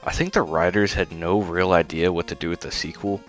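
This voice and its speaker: A man with a low voice narrates a scripted critique. His wording is blunt, disparaging and casual, and quiet music plays behind him. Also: steady tone, fairly quick. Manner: blunt, disparaging, informal with swearing. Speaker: video essay host and film critic. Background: faint music.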